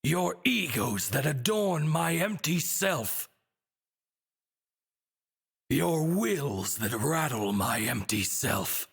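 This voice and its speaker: A man playing a vain, empty evil god in a video game, declaiming solemnly and pompously in a husky, gravelly voice. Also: a little high, sing-song, a bit bright, full character voice. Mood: solemn, ominous. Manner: slow, incantatory, pompous declamation. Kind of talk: theatrical video game boss monologue. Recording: game music behind the voice.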